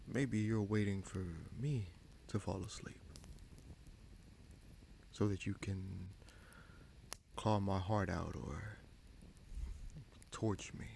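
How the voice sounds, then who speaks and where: A man playing a scheming sorcerer prince in a fantasy audio roleplay, smug and coolly menacing, with a gravelly voice and slow, deliberate, taunting delivery. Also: lowish voice, warm tone, a little hiss or hum. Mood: dry warning. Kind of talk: fantasy audio roleplay, dramatic monologue addressed to listener.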